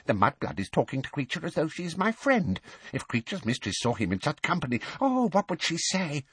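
A man's voice: on the high side, wildly swooping pitch, brisk, a bit bright, breathy edge, no echo, voice pushed up, full character voice. A man voicing a bitter, servile old house-elf in a storybook, muttering about himself in the third person. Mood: suspicious muttering.

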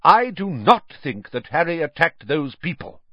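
A man voicing a calm, kindly old wizard headmaster in an audiobook, measured, gentle and quietly amused. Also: fairly high pitch, dry recording, muffled recording, voice pushed up, heavily acted. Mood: firm.

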